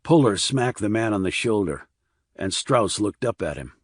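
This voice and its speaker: Male audiobook narrator for dramatic crime fiction: husky, expressive delivery in a dry, close sound. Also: on the low side, normal speed, no room sound. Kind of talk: scripted dramatic thriller narration.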